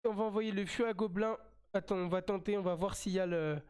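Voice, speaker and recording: Smooth-voiced French man streaming a game. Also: sits high, fairly even intonation, quick pace, darkish tone. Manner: chatty, repeats himself while playing. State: has a slight cold. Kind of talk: live mobile game commentary.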